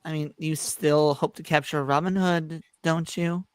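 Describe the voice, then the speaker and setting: Woman as a lowish, smug scheming sheriff. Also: fairly even intonation, unhurried, darkish tone, no echo. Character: smug, scheming medieval sheriff in a spoof play. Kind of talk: comedic amateur script read.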